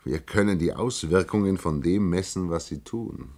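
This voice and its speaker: Radio play role: young off-world scientist, low register, earnest, halting, grave when explaining. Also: male voice, mellow. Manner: halting, hesitant, given to grave pronouncements. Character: earnest young scientist claiming to be from another planet. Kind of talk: German radio play acting.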